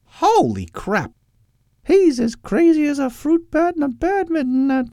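A man's voice: very high-pitched, sing-song, unhurried, warm tone, no echo, clean studio sound, pitched above the performer's own voice, heavily acted. A man voicing a brash, aggressive little man with a pushy, mocking way of talking, in an audiobook story. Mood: appalled.

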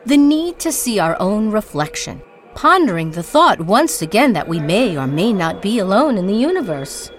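A woman performing a dramatic spoken-word monologue as narrator, with theatrical, deliberate, incantatory phrasing. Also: medium pitch, sing-song, soft background music.

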